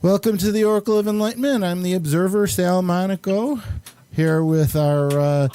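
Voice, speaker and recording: A man hosting a casual talk show, introducing guests in a halting, chatty way. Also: sits high, warm tone, clean tone. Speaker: show host.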